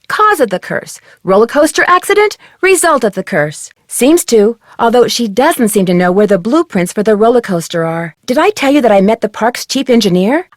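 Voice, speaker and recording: A woman's voice: on the high side, no echo, studio quality, lightly acted. A woman playing an earnest, inquisitive teenage girl detective in a video game, chatting in articulate, conversational lines.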